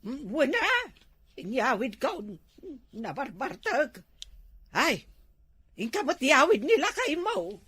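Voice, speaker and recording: A woman's voice: high-pitched, very animated, takes it slowly, long pauses, a bit bright, exaggerated voice. A woman playing a chiding, gossipy village woman in a comedy drama, with an Ilocano accent. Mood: urgent.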